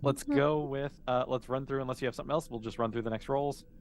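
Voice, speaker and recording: A man's voice: medium pitch, steady tone, quick pace, slight background noise. A man acting as game master, casually improvising narration for a tabletop role-playing game and thinking aloud as he goes.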